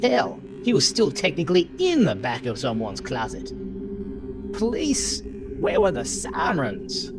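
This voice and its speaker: A man narrates a horror tale with theatrical suspense, taking his time over each phrase. His pitch moves dramatically up and down, and music plays clearly underneath him. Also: huge pitch swings, measured pace. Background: prominent music bed.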